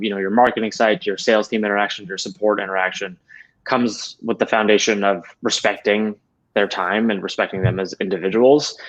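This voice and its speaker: A man in his thirties giving conversational answers as an interview guest on a video call from a room at home. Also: fairly low pitch. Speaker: interview guest, head of support operations. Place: small home room, indoors. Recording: dull, lo-fi video call audio.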